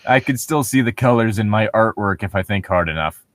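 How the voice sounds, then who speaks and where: Jokey man with a lively, swooping delivery. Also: fairly low pitch, fairly quick, dry recording, studio quality. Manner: jokey, sarcastic, swears casually. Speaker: co-host of a comedy reading show. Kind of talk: casual mocking read-aloud with banter.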